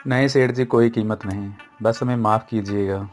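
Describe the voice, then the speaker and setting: A man's voice: fairly low pitch, fairly even intonation, moderate pace, darkish tone, faint music behind, natural voice. Man playing a humble, honest poor young man. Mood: humble, firm.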